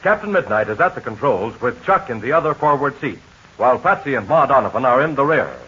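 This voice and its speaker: A man's voice: mid-range voice, expressive, fairly quick, pushed and bright, husky. A man announcing and narrating a 1940s radio serial. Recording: dull lo-fi 1940 radio recording.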